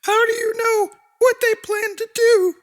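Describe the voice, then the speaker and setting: A man's voice: very high-pitched, level tone, unhurried, pitched above the performer's own voice. A man voicing a little fly girl monster in an audiobook, a young sister who is crying. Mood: tearful.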